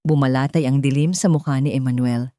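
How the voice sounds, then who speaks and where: A woman's voice: extremely low pitch, fairly even intonation, fairly quick, warm tone, dry recording, studio quality. A woman with a Filipino accent telling a story in dramatic scripted narration.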